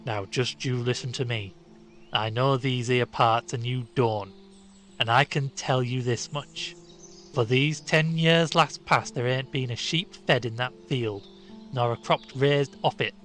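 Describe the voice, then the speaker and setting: Grave old rural shepherd as a male narrator puts him on, broad country speech, loud and weighty, faint music underneath. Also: mid-range voice, measured pace, close to an everyday voice. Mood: grave warning. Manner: broad country dialect, dropped words.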